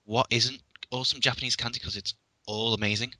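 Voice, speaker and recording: A man's voice: mid-range voice, fairly even intonation, brisk, sharp tone, no echo. A man from England with a Liverpool accent, chatting casually as a guest and often restarting his sentences with dry humor. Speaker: podcast guest. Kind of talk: casual chat.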